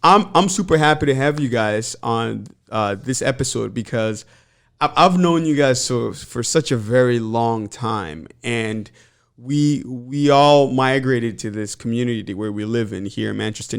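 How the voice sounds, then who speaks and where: A man in his thirties from the Congo, hosting a podcast and asking questions in a friendly, enthusiastic way. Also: medium pitch. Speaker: podcast host. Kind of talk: casual interview chat.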